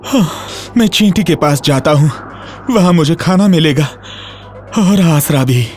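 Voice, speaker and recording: An actor playing a carefree, mocking male grasshopper in a children's animated story, speaking in a drawn-out theatrical way. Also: medium pitch, natural voice. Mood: hopeful. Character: carefree, mocking male grasshopper who loves singing and dancing. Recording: music behind the voice. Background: music.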